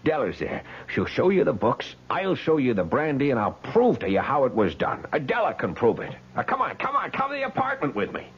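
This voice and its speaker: A man's voice: medium pitch, wildly swooping pitch, a little fast, hardly any pauses, muffled recording, a little hiss or hum. An actor playing a desperate man trapped in an old man's body in a 1970s radio drama, pleading and insistent. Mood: urgent pleading.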